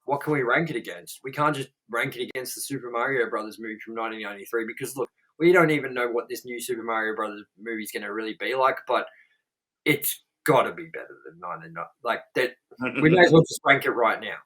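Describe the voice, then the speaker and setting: A man's voice: mid-range voice, fast, a bit bright, low-quality recording. A man co-hosting a casual podcast chat. He rambles and circles back on himself, piling on fillers and curse words as he goes. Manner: rambling, filler-heavy, frequent "like" and "you know what I mean". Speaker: podcast co-host. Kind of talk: casual chat, swearing freely.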